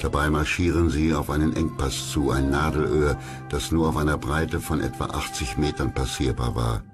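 Very deep-voiced male documentary narrator. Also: fairly even intonation. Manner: dramatic, measured storytelling. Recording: loud music under the voice. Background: music.

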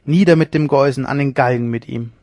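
Man doing a loud jeering swaggering lieutenant. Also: medium pitch, normal speed, dry recording, natural voice. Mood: mocking. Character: swaggering mocking young male ship's lieutenant.

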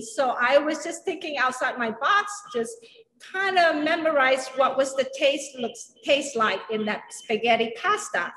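Middle-aged woman chef, unhurried and chatty, kitchen with long reverb. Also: sits high, a bit bright. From Singapore and Malaysia. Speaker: chef and culinary educator. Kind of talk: cooking demonstration. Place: large commercial kitchen. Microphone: camera at a distance.

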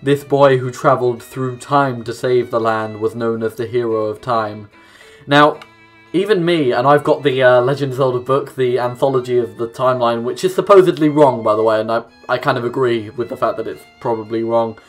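A man's voice: medium pitch, normal speed. Young man doing casual gaming commentary. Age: young adult. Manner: casual, offhand asides. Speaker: let's play host. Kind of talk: gaming commentary, reading game text aloud. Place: small room at home, indoors. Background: game music with plucked guitar.

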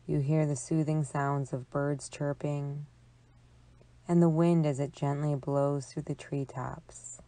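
Woman, guided imagery narration, deep register, unhurried slow pace, calm and soothing, long pauses. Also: no echo. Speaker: psychologist leading guided imagery. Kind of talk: guided relaxation meditation narration.

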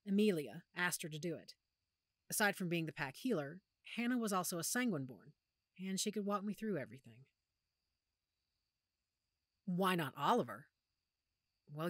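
A woman acting a protective, weary werewolf pack leader in an audio roleplay, speaking softly and measuredly with thoughtful pauses. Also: deep voice, no echo, studio quality. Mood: wistful. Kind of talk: audio roleplay drama, one-sided conversation.

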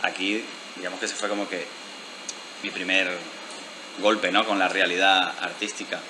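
A man's voice: deep voice, level tone, normal speed, noisy background. A man, the guest in an interview, speaking thoughtfully with hesitant restarts. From Venezuela. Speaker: guest artist. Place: lounge bar indoors. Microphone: handheld mic.

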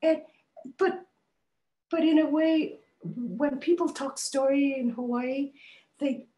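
Older woman author, slow and halting, many restarts; echoey home video-call sound. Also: sits high, fairly even intonation, warm tone, muffled recording. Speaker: author and guest. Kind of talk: conversational interview answers. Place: home study with bookshelves, indoors.

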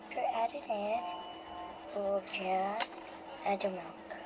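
A woman hosting a homemade cooking show, narrating each step slowly and haltingly. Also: mid-range voice, fairly even intonation. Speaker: home cooking show host. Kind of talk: casual cooking demonstration. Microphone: webcam microphone. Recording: noisy webcam recording.

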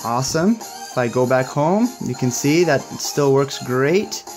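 A man's voice: mid-range voice, lively intonation, a little slow, darkish tone, faint music behind. A young man giving a casual hands-on tech demo in an upbeat, approving manner. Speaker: tech reviewer.

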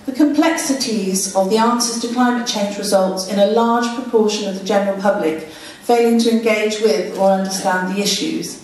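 A woman's voice: medium pitch, normal speed, few pauses, a little mellow. A woman, a parliamentary candidate, reading a prepared opening statement at a public meeting, a little hesitant at times. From England. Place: meeting room with audience. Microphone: far room camera. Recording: echoey room from far off.

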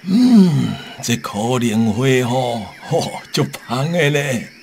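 An actor playing a fretful old rural husband in a radio drama, hesitant and sighing, with a Taiwanese accent. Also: male voice, medium pitch, lively intonation, takes it slowly, creaky, background noise. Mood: wistful. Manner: hesitant, sighing, full of interjections.

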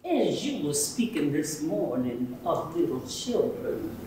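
Picture an impassioned elderly woman orator, read by a woman onstage, her voice rising and falling in wide, lively swings. She speaks slowly, with a preaching cadence. The sound is heard from far off in a very echoey hall. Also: deep voice, very noisy, heavily acted. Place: museum hall, indoors. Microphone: far camera. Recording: echoey room, far from camera.

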